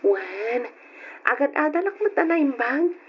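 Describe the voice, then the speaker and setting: High-voiced sweet young student. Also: female voice, lively intonation, a little slow, a little hiss or hum. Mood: grateful. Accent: Ilocano. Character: sweet grateful young female student, the hero's girlfriend. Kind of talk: old Ilocano radio drama acting. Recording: muffled old radio drama recording.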